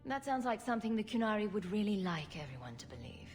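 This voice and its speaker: Game rogue, female, played by a woman: sarcastic, flippant, mid-pitched, faint music behind. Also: very animated, fast talker, close to an everyday voice. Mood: skeptical, wry. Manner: wry, flippant. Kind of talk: video game voice acting.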